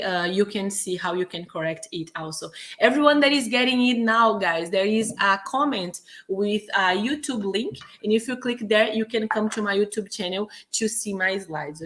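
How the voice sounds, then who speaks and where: A woman from Brazil with a Brazilian Portuguese accent, a native Portuguese speaker, teaching English in a chatty, casual way with many fillers and restarts. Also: medium pitch. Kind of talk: casual online lesson. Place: home in Quebec.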